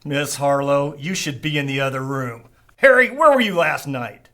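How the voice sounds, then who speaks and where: An actor playing a suspicious, sarcastic 1940s police lieutenant who needles and accuses in a noir radio drama. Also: male voice, mid-range voice, animated, normal speed, pushed and bright. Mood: stern, accusing. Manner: pointed, sarcastic.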